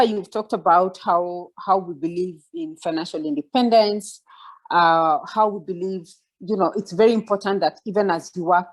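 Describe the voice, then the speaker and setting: A woman in her forties speaks in a fairly low voice, mentoring a group over a video call. She talks reflectively, pausing and restarting often as she works through her thoughts and checks that her listeners are following. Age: 40s. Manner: reflective, many fillers and restarts, asks listeners to follow along. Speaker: guest speaker.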